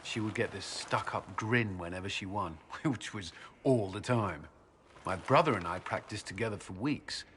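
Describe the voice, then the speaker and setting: An actor playing an earnest, dutiful military commander in a video game, reserved but friendly, with formal, courteous speech. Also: male voice, lowish voice, moderate pace, fairly bright tone, slight background noise, lightly acted. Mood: wistful, relaxed. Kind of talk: video game cutscene acting.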